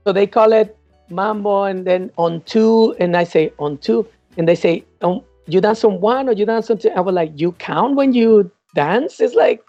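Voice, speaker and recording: A woman's voice: medium pitch, moderate pace, no room sound. A woman interviewed as a salsa dancer, telling stories animatedly and acting out old conversations. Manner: animated, acts out past dialogue and counts. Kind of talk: casual storytelling in an interview. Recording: dull lo-fi sound with faint music.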